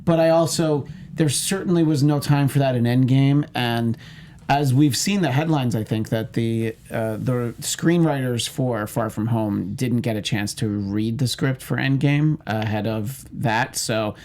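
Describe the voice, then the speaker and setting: A man's voice: mid-range voice, a little slow, a little mellow. A man chatting casually as a panelist, giving opinions in a wry, quippy way. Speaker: panelist on a movie news show.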